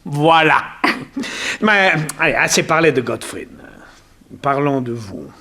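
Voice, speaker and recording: An actor playing a forty-year-old self-made immigrant banker, awkward and blunt, stammering in a 1960s French radio drama. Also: male voice, fairly high pitch, big pitch swings, slow pace, sharp tone, full character voice. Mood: bashful, curious. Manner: hesitant, stammering, repeating words.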